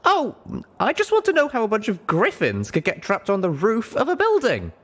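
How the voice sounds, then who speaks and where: A man's voice: high voice, huge pitch swings, normal speed, a bit bright, voice pushed up, heavily acted. A man voicing an earnest, wry young female pony heroine in a storybook reading, plucky and informal.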